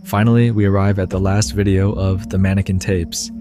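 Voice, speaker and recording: A man narrating a horror series recap in an even, measured delivery. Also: low voice, over music.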